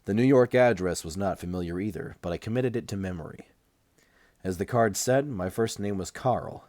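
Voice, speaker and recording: A man's voice: lowish voice, moderate pace, a little mellow, no echo. A man reading a first-person novel aloud as an amateur audiobook narrator.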